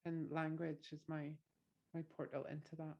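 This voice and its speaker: Orkney-accented woman poet, low gravelly voice, hesitant reflective delivery. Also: level tone, darkish tone, dull audio. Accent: Orkney Scots. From Orkney, Scotland. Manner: thoughtful, halting, many fillers and restarts. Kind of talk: poetry reading with introduction.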